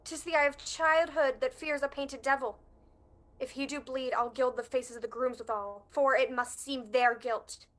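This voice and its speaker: A woman's voice: high voice, fairly even intonation, normal speed, close to an everyday voice. A young woman playing a steely, scornful noblewoman who commands her partner in murder, in emphatic amateur Shakespearean verse. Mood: scornful, commanding. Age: young adult. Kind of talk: amateur student Shakespeare performance.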